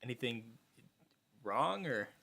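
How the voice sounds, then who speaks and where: A man from the United States hosting a podcast, explaining things in a relaxed, rambling way. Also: medium pitch, slow, gravelly. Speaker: podcast host. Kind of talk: casual chat.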